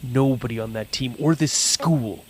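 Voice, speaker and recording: A man's voice: mid-range voice, measured pace, a little hiss or hum, natural voice. A man as taunting teenage school bully. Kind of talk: audio drama acting.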